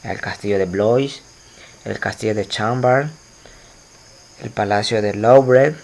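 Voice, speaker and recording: A man's voice: on the low side, slow pace, gravelly, a little mellow. A man teaching an art history lesson to students over slides, explaining at length with frequent fillers and restarts. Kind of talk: recorded slide lecture.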